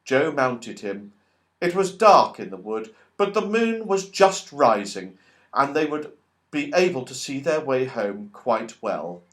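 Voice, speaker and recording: A man reading a children's story aloud as an audiobook narrator, expressive and animated. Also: medium pitch, takes it slowly. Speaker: audiobook reader.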